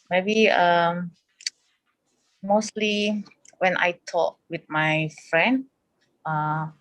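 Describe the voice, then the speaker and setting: A woman student on a video call, speaking halting, hesitant English while giving class feedback. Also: on the low side, poor audio.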